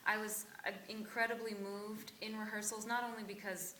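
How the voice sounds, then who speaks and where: A woman's voice: mid-range voice, little pitch movement, moderate pace, pushed and bright, a little hiss or hum. A woman from Brazil, a cast member, giving an earnest personal reflection at a theatre talkback. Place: theatre auditorium. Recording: echoey theatre, distant mic.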